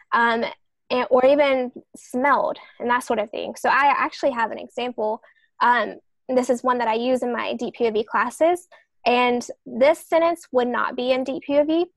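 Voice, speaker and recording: Higher-voiced woman with a creaky edge. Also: no echo. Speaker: podcast panelist, novelist and writing teacher. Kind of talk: explanatory writing discussion.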